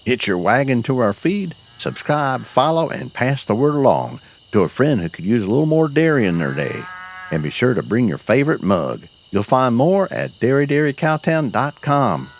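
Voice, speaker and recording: A man with a very deep voice narrates a cozy audio story in an easygoing cowboy drawl. His pitch rolls up and down freely, and a faint hiss sits under the recording. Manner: folksy cowboy drawl with country sayings. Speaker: narrator and host.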